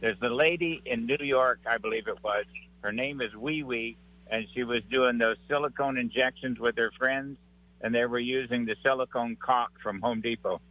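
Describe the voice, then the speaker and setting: A man's voice: mid-range voice, moderate pace. A man calling in to a radio show, casually retelling a news story he caught. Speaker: caller. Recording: dull phone-in line.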